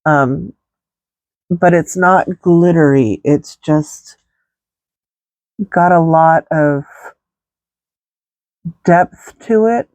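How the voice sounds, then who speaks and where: Slow, congested woman chatting about makeup. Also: low voice, a little mellow, no room sound. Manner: slow, rambling, many fillers. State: stuffy nose, congested. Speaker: makeup vlogger. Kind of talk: casual makeup tutorial chat.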